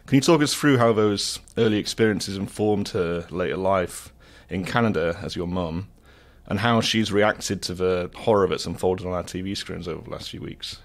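A man with a deep, gravelly voice asks an interview guest long, carefully framed questions. He speaks earnestly and builds each question from detail. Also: normal speed. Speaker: interviewer, associate editor.

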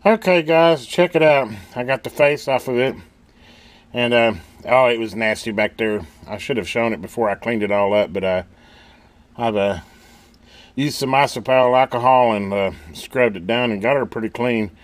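A man talking casually and haltingly in a folksy way, thinking aloud as he hosts a hands-on repair video at an indoor workbench. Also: medium pitch. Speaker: host of a radio repair channel.

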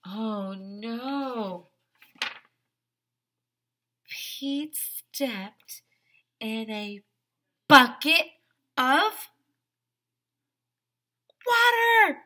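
A woman in her thirties, a teacher, reading a picture book aloud to young children in a theatrical, exaggerated, sing-song manner with drawn-out words. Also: a little high, animated, pushed and bright. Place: small decorated room, indoors. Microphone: headset.

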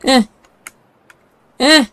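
A woman chatting casually while playing a game, excitable and slangy. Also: extremely slow. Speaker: game player. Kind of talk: casual game stream chat. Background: game music.

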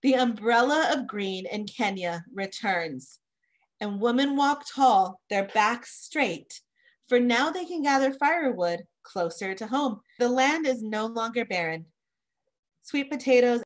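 Slow-reading woman, higher-pitched voice. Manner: careful phrasing, pausing to explain words simply. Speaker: read-aloud presenter for children. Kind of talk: children's picture book read-aloud. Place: small indoor room, home office.

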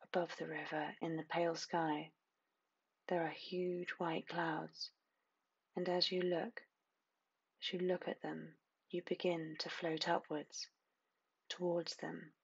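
A woman narrating a scripted guided meditation in a soothing, hushed, hypnotic manner, lingering on repeated phrases with long pauses. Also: lowish voice, little pitch movement, vocal fry, slightly rough, lo-fi sound.

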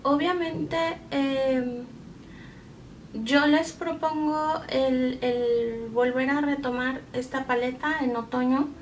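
A woman's voice: high voice, steady tone, slow, a little hiss or hum. A woman, giving a casual, instructive makeup tutorial as a beauty vlogger. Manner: chatty, instructive. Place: bedroom at home.

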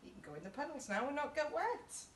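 A woman's voice: high voice, very animated, fast talker. Echoey British woman reading to children. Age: middle-aged. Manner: child-directed, asking listeners questions. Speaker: story time host reading to children. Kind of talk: children's picture book read-aloud. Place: small indoor youth group playroom. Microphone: camera a couple of metres away. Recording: echoey room, distant mic, faint background noise.

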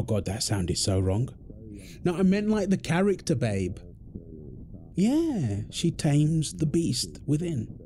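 A man playing an affectionate, tired husband and father in an intimate audio roleplay, talking tenderly with soft endearments. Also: mid-range voice. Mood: tender, playful. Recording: clear background ambient noise.

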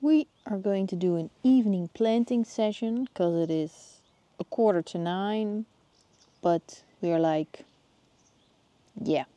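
A Dutch woman filming herself outdoors in her garden with a handheld phone. She talks casually and often pauses to search for plant names. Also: mid-range voice, dark tone. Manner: searching for words, thinking aloud. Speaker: gardening vlogger.

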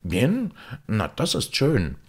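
A man's voice: mid-range voice, wildly swooping pitch, slow, husky, pitched above the performer's own voice, full character voice. A man voicing a jovial, pompous town mayor in a storybook, effusive and declamatory. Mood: delighted.

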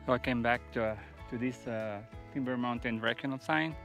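A man in his thirties filming himself on a mountain hike, talking in a casual, unhurried way with many fillers and restarts, recorded on a phone held at arm's length outdoors. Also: medium pitch, fairly bright tone, soft background music. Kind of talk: casual hiking vlog narration.

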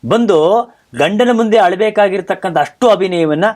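A man's voice: high voice, moderate pace, darkish tone. A man hosting a conversational talk show, telling stories in an emphatic way and repeating phrases for effect. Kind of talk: animated conversational storytelling about theatre.

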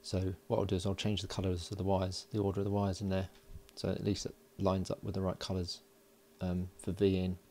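Male maker, deep and creaky, casual unhurried tutorial delivery at a workbench. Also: monotone, warm tone. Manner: unhurried, thinking aloud while working. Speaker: host of an electronics build video. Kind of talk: casual hands-on tutorial narration. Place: indoors at a workbench.

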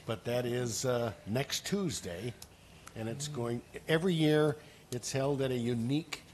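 Older guy on council, rambles a bit, average pitch, slight background hum. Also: a little slow, darkish tone. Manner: plain, rambling with fillers. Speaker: city council member. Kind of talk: meeting announcement. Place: council chamber. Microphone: desk gooseneck mic.

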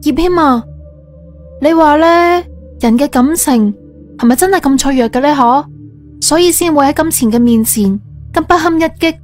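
A woman playing a bossy yet soft-hearted female company boss in an audio drama, with a Cantonese accent. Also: high-pitched, moderate pace, long pauses, close to an everyday voice. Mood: wistful. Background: background music.

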